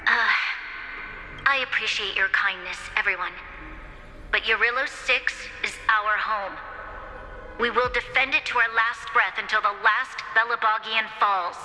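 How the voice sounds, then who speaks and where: An actress playing a dignified, resolute leader in a video game, speaking formally and earnestly. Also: female voice, a little high, fairly even intonation, unhurried, pushed and bright, big-room sound, soft background music, poor audio.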